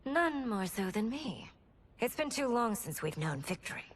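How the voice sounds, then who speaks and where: A woman's voice: a little high, sing-song, moderate pace, a little hiss or hum. A woman playing a proud, commanding young princess in a video game, with formal, imperious speech. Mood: gracious. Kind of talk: cinematic video game acting.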